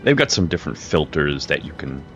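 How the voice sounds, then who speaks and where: Deep-voiced man rambling over game music. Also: sing-song, a little fast, fairly bright tone, lo-fi sound. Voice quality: low-pitched voice. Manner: rambling, restarts and self-corrections. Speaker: gaming video creator. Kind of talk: casual gameplay commentary. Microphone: home streaming mic with crackle.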